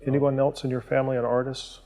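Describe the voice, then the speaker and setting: A man's voice: mid-range voice, little pitch movement, fast talker, mellow, big-room sound. A man hosting an interview, asking brief, plain questions.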